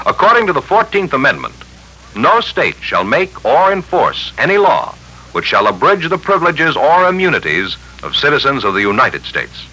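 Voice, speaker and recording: An actor playing an emphatic, instructive civics explainer in a 1950s radio announcement, with a rough-edged voice. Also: male voice, a little high, wildly swooping pitch, moderate pace, sharp tone, heavily acted, low-quality recording. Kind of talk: 1950s radio public information address on civics.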